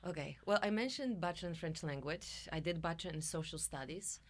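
Middle-aged woman, Polish accent, lowish pitch, effusive, chatty, casual interview guest. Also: brisk. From Poland. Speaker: podcast guest, musician and coach.

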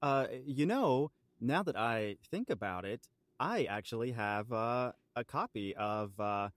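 A man playing a cheeky island local, halting and mock-formal as he proudly banters about quirky traditions on an improvised comedy podcast. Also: mid-range voice, lively intonation, dry recording, close to an everyday voice.

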